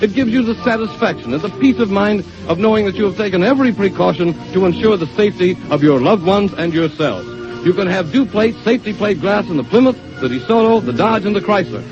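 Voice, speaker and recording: High-voiced 1930s radio pitchman. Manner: emphatic, salesmanlike. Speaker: sponsor's radio spokesman. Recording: dull 1932 radio recording.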